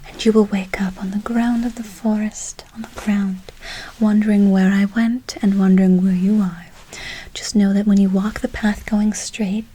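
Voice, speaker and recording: A woman playing a gentle woodland witch in a whispered ASMR role play, soothing and affectionate, repeating and lingering on her words. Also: medium pitch, a little hiss or hum, natural voice, low-quality recording. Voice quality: soft, breathy whisper. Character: gentle, affectionate woodland witch casting a good luck spell.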